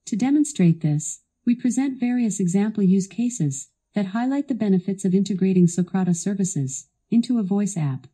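A woman's voice assistant delivering scripted product demo narration in a polished, instructional, clearly enunciated way. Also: mid-range voice, expressive, normal speed, hardly any pauses, dark tone.

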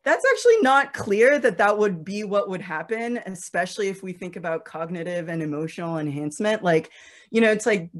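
A woman's voice: mid-range voice, fairly quick. A woman panelist talking through arguments in an informal, conversational academic way, often saying "like". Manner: conversational, heavy use of "like" and "right?".

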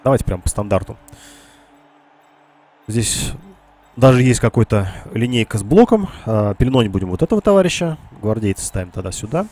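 A man with a low, husky voice and a bright edge commentates his game live. He sounds congested and nasal from a cold. His delivery is casual, as he reasons through each move aloud for his viewers. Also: sing-song, a little fast. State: sick with a cold. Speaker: game streamer.

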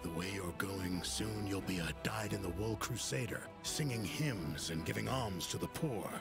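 A cynical assassin for hire from a fantasy video game. The voice is low and wryly sardonic. It sits quietly beneath loud music. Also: male voice, normal speed, creaky. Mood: wry disdain. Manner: wry, sardonic.

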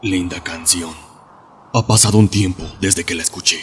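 World-weary monster hunter, played by a man, fan-dubbed game trailer: deep, terse, deadpan, faint ambience. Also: moderate pace, slight background noise, close to an everyday voice. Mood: stoic, weary. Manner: terse, deadpan, low-key. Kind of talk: fan dub acting.

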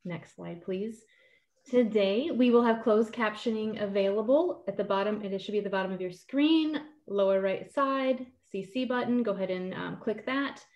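A woman's voice: mid-range voice, normal speed, a little mellow. A woman moderating an online meeting, reading logistics announcements in a friendly way with a few fillers. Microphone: video call. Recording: muffled video call audio.

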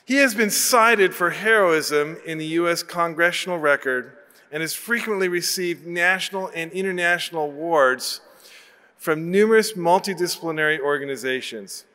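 A man in his sixties giving a formal, measured scripted introduction of a lecturer at a podium microphone. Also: a little high, sharp tone. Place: large auditorium stage. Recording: long hall reverb from PA.

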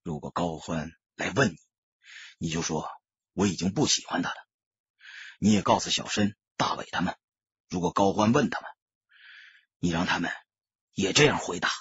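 Man acting out hushed, low, remorseful young gangster. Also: breathy edge, dry recording, lo-fi sound, heavily acted. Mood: pained, pleading. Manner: hushed, heavy, halting. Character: remorseful young gangster brother, ex-soldier, subdued, resolute. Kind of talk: audiobook character acting.

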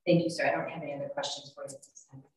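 Low-voiced older woman questioning, echoey. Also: flat delivery, racing, a little mellow. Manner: short, orderly questions. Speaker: prosecutor questioning a witness. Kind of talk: formal direct examination. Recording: echoey, distant video call audio.

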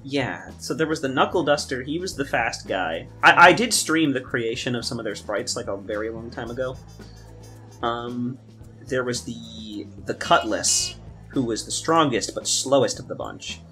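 A young man chatting casually and rambling, often halting and repeating his words. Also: mid-range voice, lively intonation, bright, forceful tone. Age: young adult. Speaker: podcast guest and game maker. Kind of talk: casual chat. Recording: music playing under the talk. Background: guitar music.

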